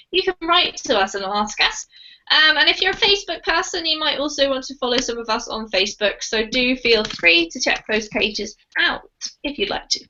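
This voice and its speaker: High bright-voiced woman teaching online. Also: muffled recording, slight background noise. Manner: friendly, chatty, encouraging. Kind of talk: live online group class. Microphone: computer mic on a video call.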